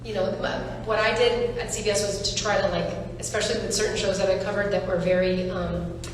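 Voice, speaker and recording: A woman's voice: mid-range voice, little pitch movement, fairly quick. A woman speaking at a panel as a casting director, her words thoughtful and earnest with frequent fillers and restarts. She sounds set back from the mic, and her voice rings through a large echoing hall. A faint hum of the room sits underneath. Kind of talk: panel discussion. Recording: echoey hall, far from mic.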